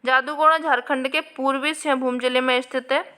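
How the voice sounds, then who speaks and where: A woman's voice: high voice, steady tone, a little fast, bright, forceful tone, clear, resonant tone. A woman teacher working through past exam questions, explaining each answer and repeating key points for her students. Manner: addresses viewers with frequent "आपके". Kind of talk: exam question walkthrough lesson.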